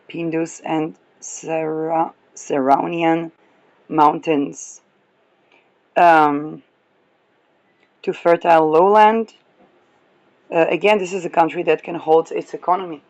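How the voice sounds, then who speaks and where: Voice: medium pitch, lo-fi sound. A person giving a casual, rambling astrology talk, chuckling often between remarks. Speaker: astrology reader.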